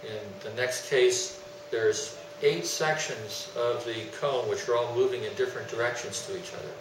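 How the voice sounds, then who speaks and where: A man giving an explanatory technical presentation in a deliberate, didactic way, stressing his key terms. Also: mid-range voice. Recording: roomy, distant mic, faint hum.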